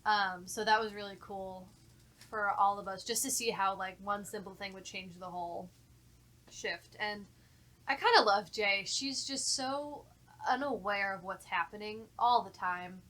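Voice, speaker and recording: A woman's voice: mid-range voice, unhurried, sharp tone. A woman who works as a voice actor, talking informally and enthusiastically in a casual podcast discussion. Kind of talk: casual group podcast chat.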